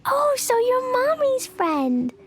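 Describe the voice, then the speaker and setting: A woman voicing a tiny, innocent, excitable little girl in a video game, with simple childlike phrasing. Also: extremely high pitch, takes it slowly, full character voice. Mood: puzzled, innocent. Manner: childlike, simple phrasing, refers to herself by name.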